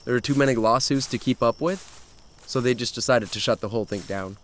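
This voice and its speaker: A man's voice: mid-range voice, a little fast, a bit bright, slight background noise, close to an everyday voice. A man playing an adventurous, thrill-seeking young man who tells his story in a horror audio drama. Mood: eager, intrigued.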